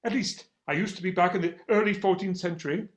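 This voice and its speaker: A man's voice: sits high, quick pace, close to an everyday voice. A man playing a stifled, lovestruck English attorney in a comic stage reading, fussy, earnest and self-deprecating. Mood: exasperated, yearning. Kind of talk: comic stage readers theater acting.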